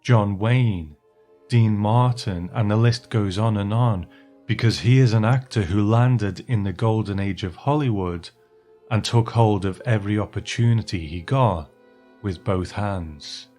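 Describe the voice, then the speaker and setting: A man hosting a podcast with a scripted introduction, speaking in an earnest, measured way. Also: on the low side, mellow, soft background music. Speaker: podcast host.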